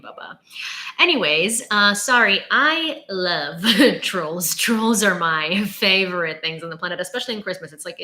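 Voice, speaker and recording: Woman in her late twenties, ukrainian-born, sassy live-stream chat, bright forceful voice, lowish pitch. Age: late 20s. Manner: chatty, sassy, quick asides to chat. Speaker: live news stream host.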